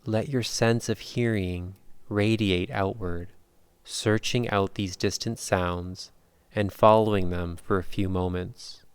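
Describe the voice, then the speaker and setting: A man guides a meditation in a lowish, smooth voice. He speaks very slowly and calmly, leaving long pauses between measured instructions. The sound is dry and close. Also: dry recording. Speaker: yoga nidra meditation guide.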